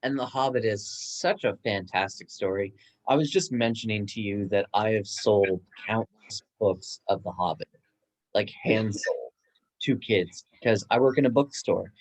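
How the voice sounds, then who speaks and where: A man's voice: lowish voice, unhurried, low-quality recording. A man chatting casually and enthusiastically in a book discussion. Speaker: book club guest, bookstore worker.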